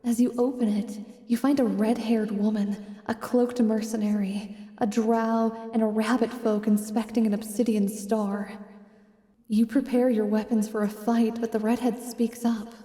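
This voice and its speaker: A woman's voice: a little high, flat delivery, unhurried, mellow, roomy sound, in a large hall, far from the mic. A woman reading scripted second-person narration for a fantasy audio roleplay.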